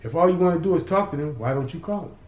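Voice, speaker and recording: A man's voice: on the high side, lively intonation, rapid-fire, a little mellow, pitched above the performer's own voice, close to an everyday voice. A man voicing a cocky, defiant city teenager who talks back to gangsters. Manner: mouthy, defiant, smart-alecky. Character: cocky streetwise teen boy from the city. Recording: roomy echo.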